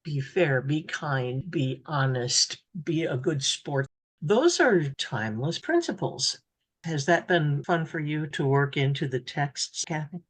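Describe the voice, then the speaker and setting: A woman hosting a radio interview, speaking in measured, clearly enunciated phrases as she talks with guests and announces events. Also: deep voice, animated.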